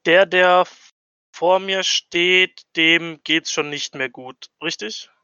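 A man's voice: high-pitched, slow, bright, forceful tone, smooth, dry recording, lo-fi sound. A man playing a tabletop game, chatting casually and thinking aloud in a tentative way.

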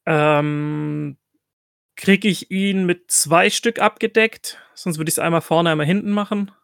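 Higher-voiced man thinking aloud while gaming. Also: measured pace, creaky, fairly bright tone, clear, resonant tone, no room sound, studio quality. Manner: hesitant, repeating and revising himself. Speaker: player in a tabletop role-playing game. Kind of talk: casual game chat, thinking aloud.

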